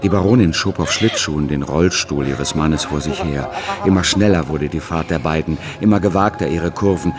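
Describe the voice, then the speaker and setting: A man narrating a German radio play as a solemn, measured literary storyteller, quietly enchanted by what he observes. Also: extremely low pitch.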